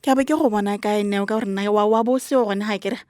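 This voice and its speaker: A woman's voice: medium pitch, fast talker, darkish tone, dry recording, clean studio sound, lightly acted. A woman with a Botswana accent acting a meddling, protective grandmother who scolds her grown daughter in a radio drama. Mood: dismissive. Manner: scolding, disapproving.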